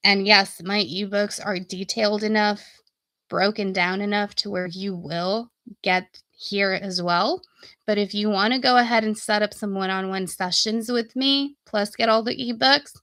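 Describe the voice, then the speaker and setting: A woman talks straight to her viewers like an informal coach on a live stream. Her voice is bright and forward at a middling pitch, though she is getting sick and starting to lose it. The sound is dry, with very little room to it. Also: dry recording. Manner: informal, folksy, addressing viewers directly. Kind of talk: casual live stream chat and sales pitch.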